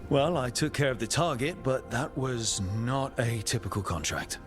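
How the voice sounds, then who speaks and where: Male game hero, actor in role: mid-pitched, understated, supportive leader, faint music underneath. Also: expressive, normal speed, close to an everyday voice. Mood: businesslike. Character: supportive, level-headed male adventuring leader. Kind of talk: video game dialogue acting.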